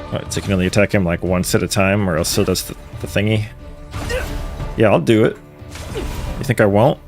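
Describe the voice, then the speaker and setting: A man gives casual, slangy commentary as he streams a game. His voice is deep and gravelly, with lively jumps in pitch. Game music plays clearly behind him. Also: fairly quick. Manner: joking, slangy, talks to the game. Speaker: live streamer playing a game. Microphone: streamer's microphone, game music behind.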